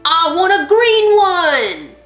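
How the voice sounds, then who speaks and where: Woman voicing a loud, bright, boisterous little boy. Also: very high-pitched, sing-song, takes it slowly, echoey room, soft background music, voice pushed up, exaggerated voice. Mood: excited, booming. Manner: loud, exclaiming.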